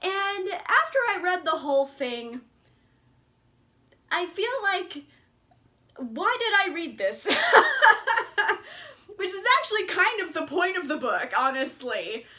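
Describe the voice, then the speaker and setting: A woman's voice: very high-pitched, very animated, slow pace, long pauses, bright, forceful tone. A young woman casually reviewing books in a chatty vlog, laughing often and swearing freely. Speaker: book reviewer.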